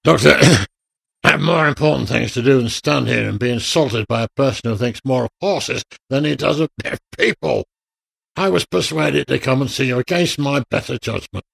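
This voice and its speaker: A male actor plays an ailing, proud computer expert in a radio drama. He is brusque and formal, with a gravelly mid-pitched voice, and he coughs as he bristles with impatience. The sound is close and dry. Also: normal speed, a bit bright, no echo, heavily acted. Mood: indignant.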